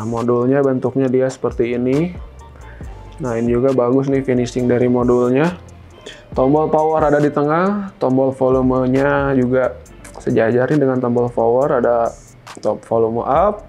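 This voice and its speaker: A man presents a product in a casual unboxing style. His voice sits at a medium pitch, and he explains things informally, step by step. Music plays along behind him. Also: darkish tone. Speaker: product review host.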